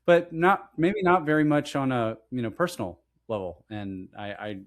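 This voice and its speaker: Rambling friendly podcast host. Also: male voice, medium pitch, moderate pace. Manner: rambling, restarts, friendly, teasing. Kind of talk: casual interview chat.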